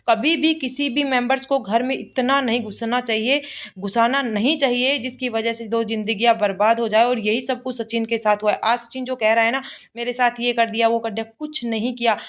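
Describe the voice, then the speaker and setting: A woman speaks in a fairly high-pitched voice, delivering casual commentary like a reaction channel host. Her talk rambles and circles back on itself. English words are dropped in along the way. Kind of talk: casual gossip commentary on a social media family dispute.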